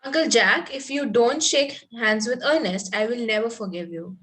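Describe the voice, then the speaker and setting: Casting: naive, romantic young Victorian lady played by a woman, lightly high pitch, earnest and prim, amateur comedy read. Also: normal speed, fairly bright tone, close to an everyday voice. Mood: insistent.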